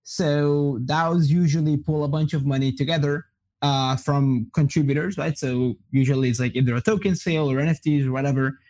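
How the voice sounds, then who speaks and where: A young man from Colombia, a native Spanish speaker, answering interview questions in a relaxed way with many fillers and restarts. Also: on the high side, moderate pace. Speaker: guest. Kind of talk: casual interview.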